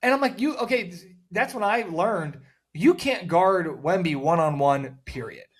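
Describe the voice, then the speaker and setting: A man co-hosting a casual sports talk show, rambling and repeating words, with a loud voice that cuts through noise. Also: high-pitched, animated, unhurried, slightly rough. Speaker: podcast co-host.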